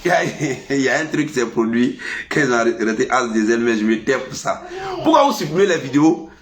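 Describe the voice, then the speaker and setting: A man with an Ivorian French accent and a cold, talking casually to his followers in a lively, scolding way. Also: brisk. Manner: lively, scolding, animated pitch jumps. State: has a cold. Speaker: vlogger. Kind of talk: casual monologue to camera.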